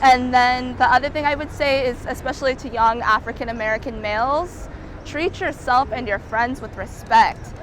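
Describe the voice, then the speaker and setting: A woman's voice: high-pitched, fairly bright tone, slight background noise. A young woman from California answering interview questions outdoors, speaking in an earnest, candid way.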